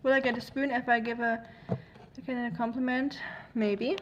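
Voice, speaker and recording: A woman's voice: on the high side, flat delivery, normal speed, highs cut off, a little hiss or hum. A young woman from Germany, hosting a livestream and chatting frankly with viewers, with lots of ums and likes. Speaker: livestream host. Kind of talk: casual chat reading viewer comments.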